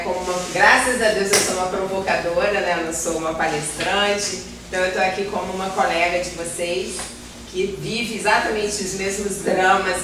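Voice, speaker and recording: Distant, echoey Brazilian woman lecturing. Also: lowish voice. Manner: hesitant, self-deprecating, repeats words while searching. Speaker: guest lecturer, environmental civil servant. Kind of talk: informal lecture to a class. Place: classroom with projector, indoors. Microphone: far camera. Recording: roomy, noisy classroom recorded from far off.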